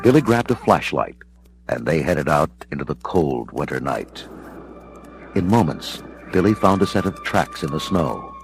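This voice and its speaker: Deep-voiced male storybook narrator. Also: sing-song, normal speed, gravelly, low-quality recording. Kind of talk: dramatic scripted storybook narration. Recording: music behind the voice.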